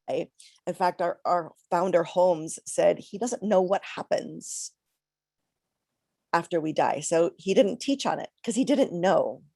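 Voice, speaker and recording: A middle-aged woman minister giving a reflective, conversational sermon with pauses, a little congested from a cold. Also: lowish voice, mellow, dry recording, studio quality.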